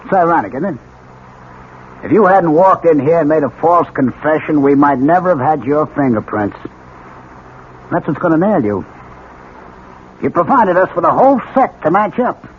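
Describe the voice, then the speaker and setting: An actor playing an assured, plain-spoken, paternal police lieutenant in a 1970s radio drama. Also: male voice, fairly high pitch, expressive, normal speed, long pauses, lightly acted. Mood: assured, triumphant. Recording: dull, noisy old radio recording.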